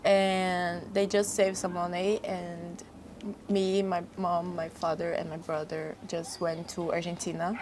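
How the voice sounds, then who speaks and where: Young Brazilian woman, low voice. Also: level tone, darkish tone, slight background noise. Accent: Brazilian Portuguese. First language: Portuguese. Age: young adult. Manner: halting non-native phrasing, frequent laughs. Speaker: guest model. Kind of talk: casual interview chat.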